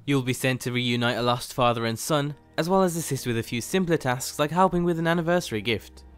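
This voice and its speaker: Male review host, mid-pitched scripted narration, soft music bed. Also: fairly quick, clear, resonant tone. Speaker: host of a game mod review channel. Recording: faint music behind the voice. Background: faint music.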